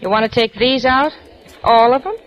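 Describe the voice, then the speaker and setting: Actress playing a breezy, easygoing library clerk. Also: female voice, a little high, moderate pace, close to an everyday voice. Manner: casual, offhand. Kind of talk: 1940s radio acting. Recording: old radio broadcast with faint hiss.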